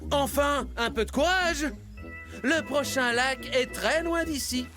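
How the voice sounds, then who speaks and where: An actor voicing a boastful young male cheetah in an animated series, cocky and showy, with music behind. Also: high-pitched, slow pace. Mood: bossy, boastful.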